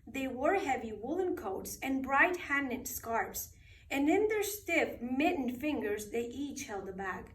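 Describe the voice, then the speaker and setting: High-pitched woman reading a bedtime story, roomy. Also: very animated, a bit bright, slight background noise. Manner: dramatic, deliberate phrasing for children. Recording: roomy echo, distant microphone.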